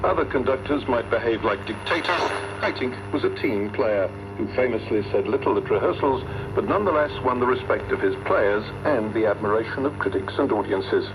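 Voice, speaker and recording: A man's voice: mid-range voice, expressive, fairly quick. A man reading a scripted obituary report as a radio reporter, heard over shortwave. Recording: noisy shortwave radio reception.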